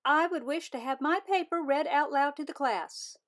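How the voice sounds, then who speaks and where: A woman voicing a boastful, excitable little girl rabbit from a storybook, with a dramatic, gushing, huffy manner. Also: high-pitched, moderate pace, voice pushed up. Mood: determined.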